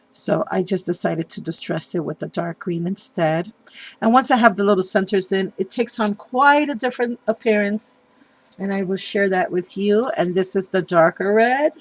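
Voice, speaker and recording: A woman with a cold, sounding stuffed up, chatting casually through a craft tutorial with many pauses and apologies. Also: medium pitch. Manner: chatty, apologetic, hesitant with fillers. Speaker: craft video host.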